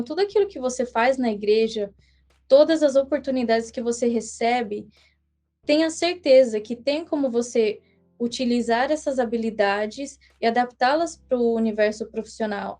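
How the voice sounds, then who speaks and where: A young woman whose first language is English, telling her story as a guest on a podcast in an earnest, measured way with small restarts. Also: fairly high pitch, dark tone. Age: young adult. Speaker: guest missionary. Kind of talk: casual podcast interview.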